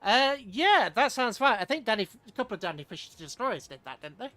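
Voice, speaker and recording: A man's voice: sits high, sing-song, a little fast, bright, forceful tone, soft background music. A British man casually commentating a strategy game on a livestream, chatting with viewers and muttering rambling asides to himself as he plays.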